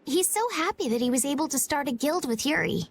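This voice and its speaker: A woman's voice: high-pitched, lively intonation, quick pace. A woman voicing a sweet, polite, idealistic young lady in a video game, with proper phrasing. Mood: gentle.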